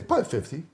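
A man's voice: a little high, noisy background. A man who is a podcast guest and rapper, chatting casually and informally in a studio, often repeating his phrases as he goes.

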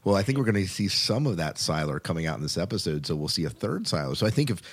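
Very deep-voiced man chatting casually. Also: big pitch swings, quick pace, breathy edge. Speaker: podcast host. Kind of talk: casual chat about TV shows.

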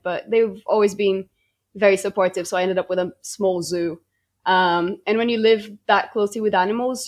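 A woman's voice: mid-range voice, darkish tone. A young woman from Brazil, a native Portuguese speaker, talking casually about her research as a podcast guest, with frequent ums and restarts. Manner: chatty, many ums and restarts. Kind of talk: podcast interview, casual storytelling.

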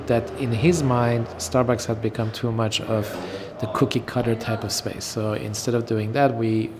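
A middle-aged man from Iran talking casually about his design career, pausing often with ums. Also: medium pitch, noisy background. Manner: relaxed, hesitant with many ums. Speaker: interview guest, designer. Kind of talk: casual interview recollection.

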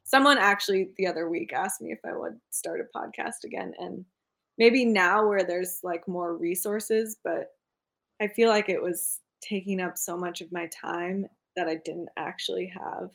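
A woman's voice: lowish voice, steady tone, normal speed, gravelly, fairly bright tone. A woman guest in a casual podcast interview, talking with frequent laughs and a self-deprecating manner.

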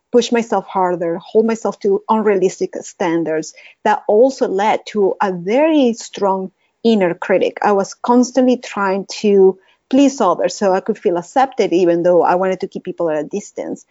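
A woman's voice: mid-range voice, darkish tone, no room sound, lo-fi sound. Venezuelan-accented woman, earnest and reflective. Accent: Venezuelan Spanish. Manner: earnest, reflective, some restarts. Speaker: guest, holistic wellness coach. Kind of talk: podcast interview, personal story.

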